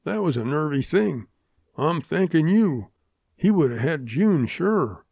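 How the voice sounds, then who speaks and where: A man's voice: fairly high pitch, very animated, unhurried, gravelly, a little mellow, breathy edge, no echo, lo-fi sound, voice pushed up, full character voice. A man voicing a folksy, frank older man with rustic Western phrasing in an audiobook. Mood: grateful.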